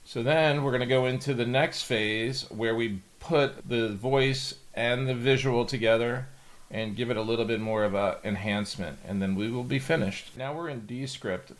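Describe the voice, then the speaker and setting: A middle-aged man with a fairly low voice. He hosts a casual software tutorial. His explanations are plain and go step by step. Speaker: tutorial host. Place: indoor home office.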